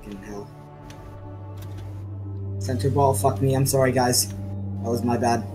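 A man streaming a game and chatting with teammates, excitable and swearing a lot, saying he is losing his voice. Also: mid-range voice, measured pace, music in the background. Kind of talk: casual gaming chat with teammates.

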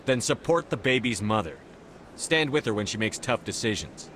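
An actor playing a calm soldier hero in his thirties in a video game, mediating in a direct, diplomatic way. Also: lowish voice, normal speed, a little hiss or hum. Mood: firm. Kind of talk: video game dialogue acting.

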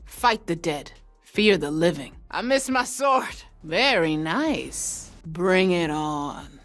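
A woman's voice: fairly low pitch, expressive, takes it slowly, fairly bright tone, soft background music, exaggerated voice. A woman playing a tough, guarded sword-wielding apocalypse survivor in a video game, with terse, commanding combat lines. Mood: stern, controlled.

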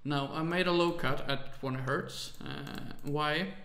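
Hesitant man, gravelly voice. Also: fairly high pitch, measured pace, bright, forceful tone, reverberant, faint music behind. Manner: hesitant, many "uh" fillers, apologetic about his English. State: tired, late at night. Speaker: music production tutor. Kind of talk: screen-recorded software tutorial.

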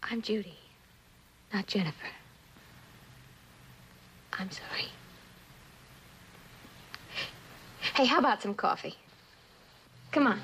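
An actress playing a blunt, streetwise young delinquent with a slangy, defiant way of talking in a vintage suspense drama. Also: female voice, mid-range voice, animated, unhurried, pauses often, fairly bright tone, husky, full character voice, poor audio. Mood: brisk, firm. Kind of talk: 1960s television suspense drama acting.

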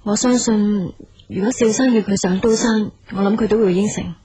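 A woman's voice: mid-range voice, moderate pace, dark tone, husky. A woman playing a chatty, obliging long-serving office employee in a radio drama, with a Hong Kong Cantonese accent. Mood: helpful, admiring. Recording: slightly dull radio recording.

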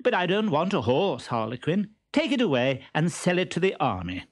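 A man reading a storybook aloud, voicing a bold, teasing young woman in a high, lilting voice. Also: very animated, normal speed.

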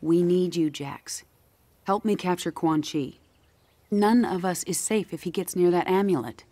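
A woman's voice: on the low side, unhurried, dark tone, dry recording. A woman playing a stern, determined special forces general in a video game cutscene, blunt and businesslike. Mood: firm.

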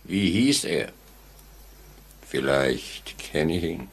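An actor playing a sinister, laconic elevator operator in a 1940s-style radio play, speaking tersely and with calm menace. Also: male voice, extremely low pitch, extremely slow, heavily acted. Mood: quietly menacing. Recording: noisy vintage radio drama recording.

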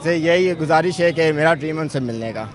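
A young man from Pakistan answers a night street interview with short, modest replies. He talks quickly in a slightly raised voice, with a crowd faint behind. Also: on the high side, faint music behind. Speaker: fan interviewee. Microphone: handheld mic held by interviewer.

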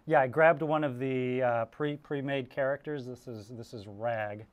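A man's voice: medium pitch, fairly even intonation, measured pace, dull audio. Jokey male interview host. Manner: jokey, laughs easily. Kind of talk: casual interview chat.

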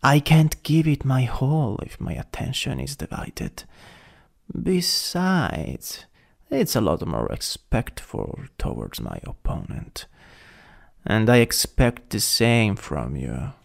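A man's voice: mid-range voice, big pitch swings, slow, vocal fry, heavily acted. A man with an Italian accent playing a jealous, possessive, taunting supervillain in an ASMR roleplay, drawling and teasing, sometimes dropping to a whisper. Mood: jealous, needling.

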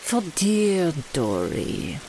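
Menacing French female thrall, played by a woman, mocking, very unhurried, lowish voice, audio roleplay. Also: very animated, dark tone, slight background noise, heavily acted, rough recording. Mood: cold menace. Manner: slow, deliberate, mocking.

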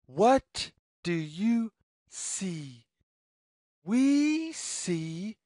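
A woman reading a children's picture book aloud as a storyteller, deliberately and in a sing-song way, pausing between phrases. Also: mid-range voice, dry recording, studio quality.

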